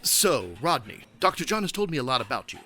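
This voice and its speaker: A man's voice: medium pitch, very animated, a little fast, bright, forceful tone. An actor playing an earnest, heroic masked crime fighter in an old-time style radio comedy drama, declaiming his lines. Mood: earnest, curious.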